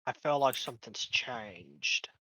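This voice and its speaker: A man's voice: mid-range voice, expressive, sharp tone, a little hiss or hum, rough recording. A young man playing in a tabletop game over voice chat, bantering and arguing with the game master. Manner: bantering, pushy, quick to argue. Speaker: player. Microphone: online voice chat mic.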